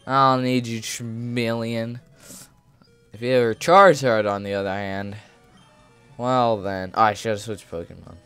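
A man's voice: on the low side, moderate pace, a bit bright, clear, resonant tone, no echo. A man giving casual, rambling commentary over a video game, joking in a goofy way and often repeating himself. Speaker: gaming video creator. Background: video game music.

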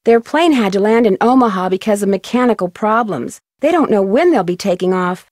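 A woman's voice: medium pitch, animated, a little fast, a little mellow, no echo, clean studio sound, lightly acted. An actress playing a polite, earnest young female amateur detective in a video game, courteous and a little sheepish. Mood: earnest inquiring.

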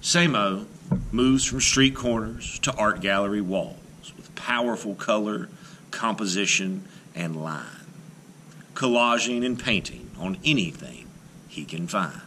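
Male storybook reader, lowish and forceful, dramatic phrasing with deliberate pauses. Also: animated, slight background noise. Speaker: art teacher reading a picture book aloud. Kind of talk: expressive children's picture book read-aloud.

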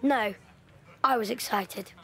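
A thoughtful young evacuee girl from London, as an actress voices her in a radio play. Her voice sits high, and she tells her story slowly and reflectively. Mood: recalling. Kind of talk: audio drama acting.

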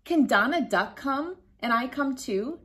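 Slow, gentle woman reading to kids. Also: sits high, lively intonation. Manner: gentle, playful, laughing often. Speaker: children's book reader. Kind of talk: read-aloud storytime for kids. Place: bright room indoors.

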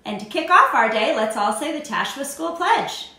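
A woman's voice: sits high, big pitch swings, moderate pace, bright, forceful tone. An American woman in her forties, a school principal hosting scripted morning announcements. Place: indoor room at a desk. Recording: very echoey room.

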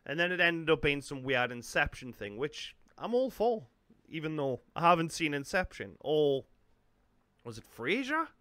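Lively, bright-voiced Geordie man. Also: a little high, moderate pace, no room sound. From North East England. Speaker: reaction video host. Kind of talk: casual off-the-cuff reaction commentary. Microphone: large close studio microphone.

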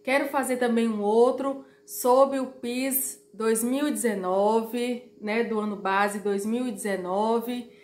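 Slightly hoarse woman in an echoey room. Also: a little high, steady tone, takes it slowly, few pauses, a little mellow. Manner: chatty, direct to viewers, repeats warnings. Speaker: YouTuber. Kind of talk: informal explainer vlog. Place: small room at home, indoors.

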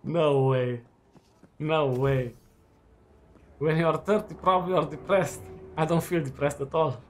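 A man in his twenties chatting casually while playing a game, relaxed and rambling with lots of fillers and restarts. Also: a little high, unhurried, slight background noise. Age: 20s. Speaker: student gaming while chatting. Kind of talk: casual chat over gameplay.

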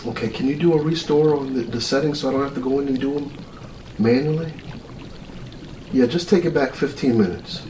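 A man's voice: mid-range voice, fairly quick, mellow, close to an everyday voice. A middle-aged man playing an earnest, easily flustered military mad scientist in an ASMR roleplay, rambling, muttering and repeating his words. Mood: puzzled, annoyed. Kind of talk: ASMR roleplay, improvised monologue. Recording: roomy, distant mic with clear noise.

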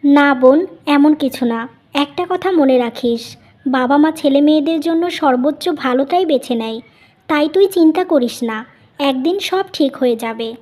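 A woman plays a gentle young wife, the sensible elder sister of a cartoon family tale. Her voice is high and her manner patient. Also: normal speed, close to an everyday voice. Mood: reassuring. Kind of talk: Bengali cartoon story voice acting.

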